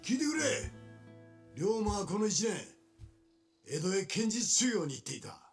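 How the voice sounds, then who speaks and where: An actor playing a brash, confident swordsman leader in a period game drama, with rough, commanding, blunt speech. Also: high-pitched, sing-song, a little fast, soft background music, heavily acted.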